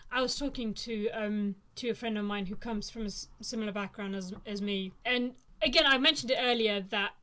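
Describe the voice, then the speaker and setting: A woman born in Germany and living in the UK, co-hosting a casual podcast chat with quick asides and jokes. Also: medium pitch, pushed and bright. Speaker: podcast co-host.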